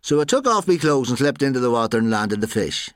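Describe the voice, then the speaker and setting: Guy doing a wily irish poacher in a story, pitched up, gabby and emphatic, big swings. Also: big pitch swings, quick pace, no echo, clean studio sound, natural voice. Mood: earnest pleading. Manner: voluble, emphatic, folksy. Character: plump, wily male poacher spinning tall tales.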